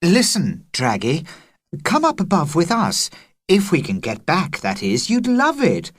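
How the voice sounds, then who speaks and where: A storyteller voicing a kind, dreamy young schoolgirl who speaks softly. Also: medium pitch, huge pitch swings, unhurried, husky, no room sound, studio quality, pitched above the performer's own voice, heavily acted. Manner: soft, crooning.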